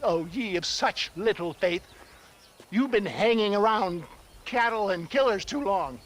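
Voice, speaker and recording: A man's voice: high voice, lively intonation, unhurried. An actor playing a shifty, cowardly old snake-oil salesman in a video game, with a pompous, wheedling, hemming-and-hawing manner. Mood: boastful, scornful. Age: older. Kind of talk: dramatic video game cutscene acting.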